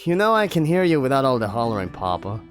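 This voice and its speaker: A man's voice: medium pitch, very animated, fast talker, soft background music, natural voice. A man voicing a timid, small young bard son who stammers and speaks meekly. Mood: whiny. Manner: stammering, meek.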